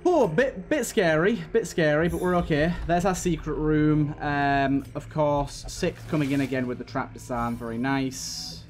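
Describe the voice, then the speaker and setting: A man's voice: a little high, unhurried, hardly any pauses, a little hiss or hum. A man hosting a casual let's play, talking to the game's characters and repeating his phrases as he goes. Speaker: gaming host.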